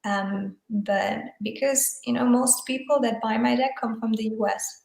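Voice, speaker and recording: A woman whose native tongue is German speaks in a flat, even tone, pausing often with fillers and restarting her sentences. She is heard over a video call in an echoey room. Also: fairly high pitch, creaky. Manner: hesitant, many fillers and restarts. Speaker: guest, tarot deck creator and photographer. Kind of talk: casual interview chat.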